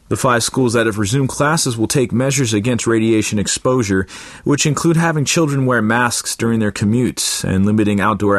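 A man's voice: lowish voice, no echo, studio quality. A man reading a scripted international news report for radio as a news reader.

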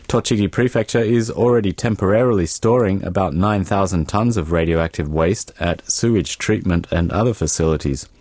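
A male newsreader with a deep voice reads scripted radio news. The recording is dry, with no room sound. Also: darkish tone, dry recording.

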